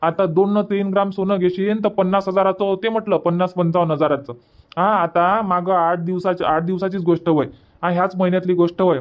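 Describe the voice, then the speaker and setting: A very high-voiced young village man from a cartoon, acted by a man, on a dull recording. He is worried and emphatic, full of questions. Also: lightly acted. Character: worried young village son, fretting over costs. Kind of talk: Marathi cartoon comedy dubbing.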